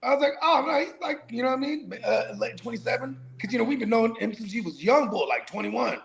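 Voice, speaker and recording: Gravelly rambling man in his forties. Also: extremely high pitch, lively intonation, quick pace, fairly bright tone, slight background noise. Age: 40s. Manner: rambling, laughs often, says "you know what I mean". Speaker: podcast co-host. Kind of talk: casual profane banter.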